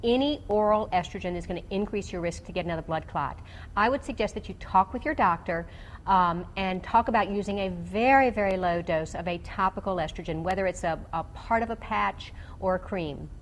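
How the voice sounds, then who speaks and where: A woman speaks as a doctor on a radio call-in show, with background noise around her. She explains medical options in technical detail, pausing as she goes. Her pitch sits in a middle range for a woman. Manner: explanatory, technical, hesitant pauses. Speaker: doctor answering callers on a health show. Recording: clearly noisy background.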